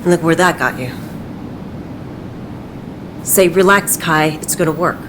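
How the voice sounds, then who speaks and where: A woman's voice: deep voice, slow, background noise. An actress playing a tough, wry woman on a heist crew in a video game. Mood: guarded, sincere. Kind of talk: video game voice acting, naturalistic dialogue. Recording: game soundtrack behind voice.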